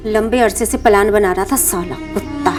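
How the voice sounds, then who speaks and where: A woman's voice: mid-range voice, normal speed, darkish tone, faint music behind, lightly acted. Commanding female crime boss, played by an actress. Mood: cold, commanding. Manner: commanding, coarse-tongued. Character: hard, vengeful female crime-gang boss giving orders. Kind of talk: TV crime drama acting.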